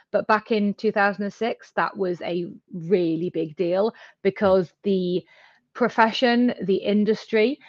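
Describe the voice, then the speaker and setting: A woman's voice: mid-range voice, no room sound. A middle-aged woman from the UK speaking chattily as she explains things as an interview guest. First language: English. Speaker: interview guest, company founder and CEO. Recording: dull, slightly lo-fi audio.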